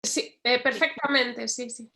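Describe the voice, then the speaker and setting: A woman moderates an online conference, introducing a speaker over a video call. Her voice sits fairly high, and she speaks slowly and haltingly, pausing between phrases. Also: creaky, pushed and bright.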